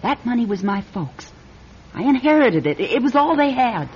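An actress playing a tough, streetwise young hostess who talks bluntly in slang, in an old-time radio detective drama. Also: female voice, on the high side, very animated, normal speed, slight background noise. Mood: bitter, hurt. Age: young adult. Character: tough, streetwise young nightclub hostess, guarded but wounded. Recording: dull radio recording, music behind.

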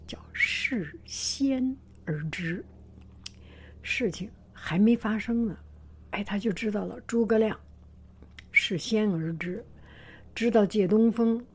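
A woman whispers softly to her viewers as the host of her own channel. Her voice is airy and breathy, and she takes her time over each phrase. She pauses often and hesitates as she talks. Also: medium pitch. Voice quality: soft whisper. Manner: gentle, hesitant, many pauses and fillers. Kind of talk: whispered vlog talk to viewers.

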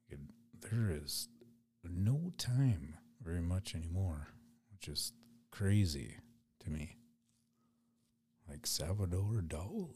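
A man hosting a podcast solo, with a raspy voice, chatting loosely and rambling in an unedited way. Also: sits low, a little mellow, slight background noise. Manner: rambling, loose, laid-back. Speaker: podcast host. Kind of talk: casual solo podcast chat.

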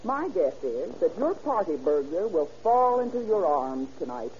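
Self-assured police clerk, American woman, mellow, lively intonation, brisk explainer, vintage radio drama, dull lo-fi sound. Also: lowish voice. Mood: eager, assured. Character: brisk, confident woman police records clerk playing detective. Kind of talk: old-time American radio drama acting. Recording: muffled, noisy old radio recording.

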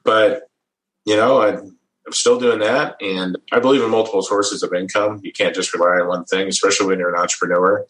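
A middle-aged man with a fairly low voice talks in a relaxed, easygoing way and chuckles often. He restarts his phrases as he thinks. His voice bounces around an echoey room. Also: a little fast. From American Midwest. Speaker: guest. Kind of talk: casual interview storytelling.

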